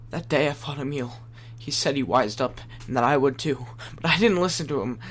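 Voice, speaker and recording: Guy doing anguished remorseful young man, audio drama, tense bright voice, pleading, faint hum behind. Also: mid-range voice, brisk, lightly acted. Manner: urgent, pleading, emotional delivery.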